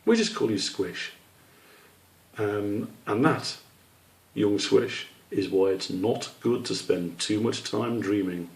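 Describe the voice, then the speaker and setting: A man's voice: on the low side, animated, takes it slowly, gravelly, full character voice. A man voicing a proud, long-winded father squirrel in a children's story, explaining things with emphasis.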